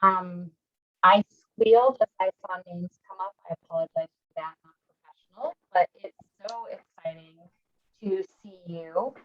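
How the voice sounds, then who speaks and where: A woman's voice: on the low side, moderate pace. A middle-aged woman, a director, chatting casually as she introduces herself over a lo-fi video call. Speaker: director at a college office.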